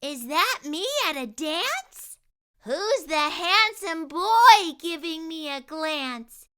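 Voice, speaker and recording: Woman as a high-pitched, wide-eyed young girl. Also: animated, takes it slowly, fairly bright tone, heavily acted. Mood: wondering. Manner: wondering, childlike. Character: self-conscious young girls in a storybook, wide-eyed.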